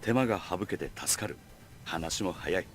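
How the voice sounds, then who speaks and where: An actor playing a smooth-talking, condescending young leader in a visual novel, talking lightly and casually. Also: male voice, sits low, quick pace, slight background noise, lightly acted. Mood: breezy, condescending. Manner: light, casual, looking down on others. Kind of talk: Japanese visual novel voice acting.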